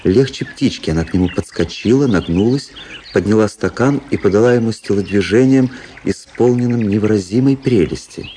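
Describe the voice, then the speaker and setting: Low, mellow gentleman narrator played by an actor. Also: fairly quick, slight background noise, lightly acted. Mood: detached, reflective. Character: cool, self-analysing young gentleman officer recounting his life. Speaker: narrator and lead character. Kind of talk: dramatic literary narration in a radio play.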